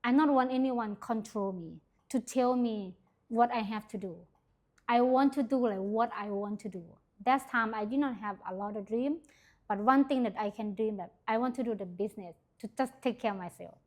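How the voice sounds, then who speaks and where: A young Thai woman from the Northeast, telling her life story in a casual interview, in halting English with a Thai accent and many fillers. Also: on the high side. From Thailand, Isan region. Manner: hesitant, many fillers, simple grammar. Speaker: interview guest sharing her testimony.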